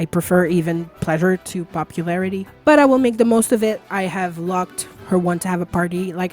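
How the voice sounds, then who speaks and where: A woman's voice: fairly low pitch, a little mellow. A woman chatting casually and rambling over gameplay, with lots of filler words and small laughs, while losing her voice. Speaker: video creator.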